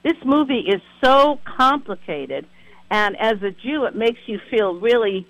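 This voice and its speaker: A man's voice: high voice, expressive, unhurried, a bit bright, dry recording, rough recording. A man hosting a conversational radio interview in an effusive, emphatic way. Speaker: radio show host.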